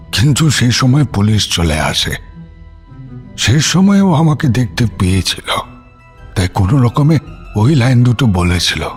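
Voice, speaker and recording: A man playing a regretful old grandfather in an audio drama, confiding memories haltingly. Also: medium pitch. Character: old grandfather breaking a long silence, regretful. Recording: music behind the voice.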